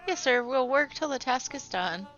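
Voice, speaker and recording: A woman's voice: sits high, expressive, moderate pace, a bit bright, dull audio, soft background music, pitched above the performer's own voice. A woman doing a nervous, deferential young worker. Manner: deferential, hesitant.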